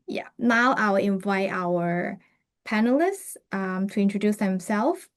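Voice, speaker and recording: A woman from a university admissions office opens and guides an online session. She talks in a relaxed, friendly way at a middle pitch, now and then dropping in an um or an uh. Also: slow. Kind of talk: webinar hosting.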